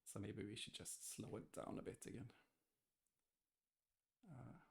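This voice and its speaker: A man thinking aloud hesitantly as he gives a casual software testing walkthrough. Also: on the low side, steady tone, measured pace, creaky. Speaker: software tester demonstrating. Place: indoors, screen-recorded voice-over.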